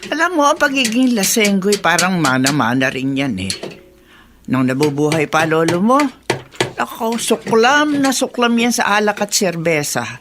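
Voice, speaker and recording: A woman's voice: medium pitch, big pitch swings, normal speed, close to an everyday voice. An actress playing a chatty, caring but resentful elderly grandmother in a radio drama, exclaiming and scolding. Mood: bitter, reminiscing. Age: older. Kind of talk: Tagalog radio drama acting. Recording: clear background noise, clicks.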